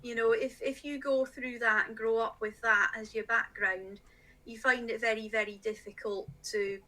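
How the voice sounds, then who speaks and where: A middle-aged woman, born in Scotland and raised in northeast England, speaks earnestly and with plain emphasis. Her voice is bright and clear and sits a little high. She talks like a guest in a podcast interview. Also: steady tone, moderate pace, rough recording. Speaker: magazine editor, podcast guest.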